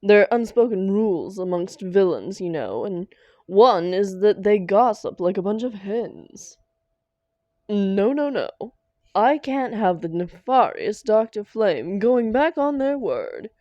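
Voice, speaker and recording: A woman's voice: medium pitch, lively intonation, slow pace, mellow, no room sound, lo-fi sound. A woman playing a theatrical female supervillain and doting, grumbling lover in a scripted audio roleplay. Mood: reluctant, fond. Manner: theatrical, affectionate pet names, exasperated protests.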